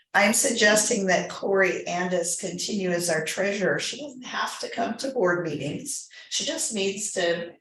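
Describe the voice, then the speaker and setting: A woman chairing a formal board meeting, moving through procedure with conversational, informal asides. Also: fairly low pitch. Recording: echoey room recording.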